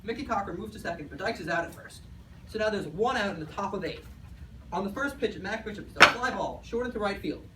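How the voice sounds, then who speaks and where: Man as high, quick 1920s radio announcer. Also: lively intonation. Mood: excited. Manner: brisk, stumbling over lines. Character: earnest, excited 1920s radio newsman and baseball announcer. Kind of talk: amateur old-time radio commentary. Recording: echoey, faintly noisy recording from far off.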